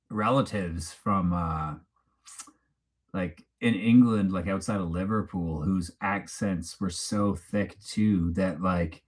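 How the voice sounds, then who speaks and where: A man with a Canadian accent and a fairly low voice hosts a loose live chat. He talks off the cuff in an informal, rambling way, with a teasing streak. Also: fairly bright tone. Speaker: livestream host. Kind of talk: casual unscripted chat, reading live comments.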